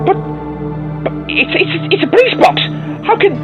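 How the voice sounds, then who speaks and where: An actor playing a flustered, sceptical young police officer with a Northern English accent, stammering and muttering exclamations in an audio drama. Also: male voice, very high voice, big pitch swings, moderate pace, highs cut off, music in the background. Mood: stunned, flustered. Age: young adult.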